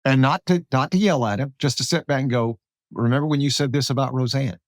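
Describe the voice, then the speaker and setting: A man's voice: medium pitch, brisk, no room sound, studio quality. An American man hosting a conversational political podcast, talking emphatically and acting out quotes as he makes his points. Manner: animated, emphatic, acts out quotes. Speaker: podcast host.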